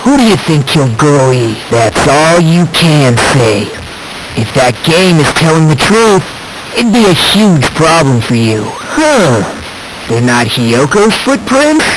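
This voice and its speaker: A man's voice: a little high, very animated, takes it slowly. A man voicing a polite, musing teenage boy in an anime video game, unsettling and fervently hopeful, on noisy game audio. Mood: probing.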